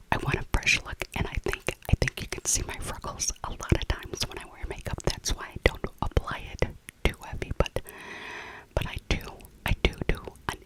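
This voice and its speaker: A middle-aged woman whispering a breathy, rambling ASMR shopping voiceover, often repeating her phrases. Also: rough recording.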